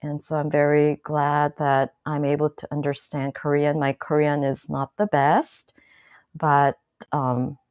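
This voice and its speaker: A woman hosting her own channel, chatting casually to viewers in a slow, thoughtful way with many fillers and restarts. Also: low voice, a little mellow, clear, resonant tone, dry recording, studio quality. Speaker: channel host. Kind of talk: casual live-stream talk to viewers.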